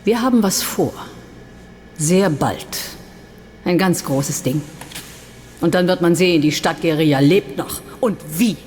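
An actress playing a coolly sardonic urban guerrilla leader in a radio drama, self-assured, taunting and given to declaiming. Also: female voice, fairly low pitch, animated, unhurried, pauses often, a little mellow, soft background music. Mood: mocking, commanding. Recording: long reverb of a large hall.

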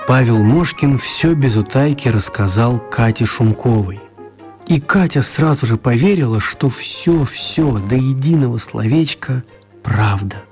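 An actor narrating a children's tale as the storyteller of a radio play. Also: male voice, mid-range voice, expressive, measured pace, a little mellow, soft background music. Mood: storytelling.

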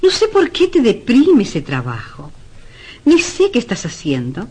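An actress playing a concerned, gently pleading wife in a radio drama, with a coaxing, solicitous and theatrical delivery. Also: female voice, on the high side, huge pitch swings, a little slow, breathy edge, heavily acted. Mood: worried, pleading. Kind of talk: old radio drama acting.

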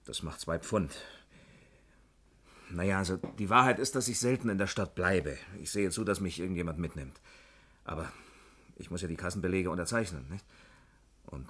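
An actor playing a sheepish, defensive company employee under questioning in a 1960s German radio crime drama, explaining himself hesitantly. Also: male voice, low voice, moderate pace, pauses often, a bit bright, slightly rough. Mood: sheepish, confessing.

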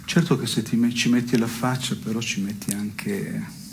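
An actor playing a wry, melancholy man in an absurdist stage dialogue, speaking in a measured deadpan. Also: on the low side, close to an everyday voice. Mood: deadpan, probing. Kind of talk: absurdist two-hander theatre dialogue. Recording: noisy radio broadcast of theatre piece.

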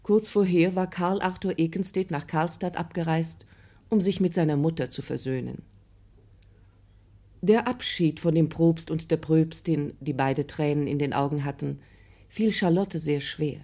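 A woman's voice: deep voice, a little slow, warm tone. A woman narrator reading scripted literary narration for a drama. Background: music.

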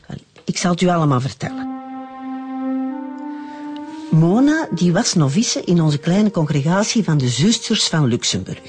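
An actress playing a very old, sharp and candid Flemish nun in a radio drama. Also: female voice, lowish voice, sing-song, normal speed, pauses often, soft background music. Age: older.

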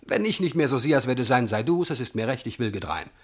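An actor playing an insolent, bullying impostor servant in a classical verse radio comedy, declaiming imperiously and emphatically. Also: male voice, medium pitch, animated, brisk, slightly rough. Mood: menacing.

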